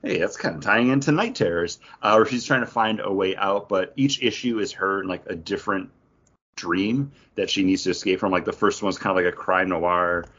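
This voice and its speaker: A man's voice: low voice, fairly quick, a bit bright, lo-fi sound. A man co-hosting a podcast, chatting casually about comic books. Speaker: podcast co-host. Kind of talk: casual comic book chat.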